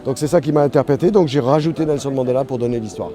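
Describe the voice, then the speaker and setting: A man's voice: mid-range voice, racing, background noise. A man being interviewed as a painter, talking casually and enthusiastically outdoors at a festival. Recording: music behind, outdoor event.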